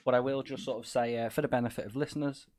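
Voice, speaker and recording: An upbeat man hosts a podcast in a chatty way and welcomes listeners. His voice sits at a middle pitch, neither high nor low. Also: steady tone, racing, darkish tone. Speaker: podcast host. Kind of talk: casual interview talk.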